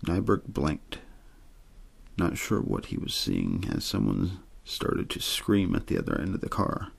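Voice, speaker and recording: A man reading a story aloud in ASMR bedtime style, with an understated, untheatrical delivery and a gravelly, husky voice. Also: sits low, sing-song, measured pace. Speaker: amateur audiobook reader.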